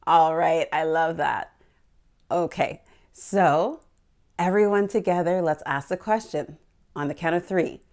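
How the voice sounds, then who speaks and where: A woman's voice: deep voice, unhurried, a little mellow. A woman hosting a picture book storytime for young children, speaking in an encouraging, exclamatory way and putting questions to her little listeners.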